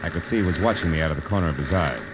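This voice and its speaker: An American actor playing a hard-boiled, wry private detective in 1940s radio crime drama, terse and deadpan. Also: male voice, sits low, racing, noisy background, close to an everyday voice. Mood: wry, narrating. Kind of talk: 1940s radio crime drama acting with first-person narration. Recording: muffled vintage radio recording, music underneath.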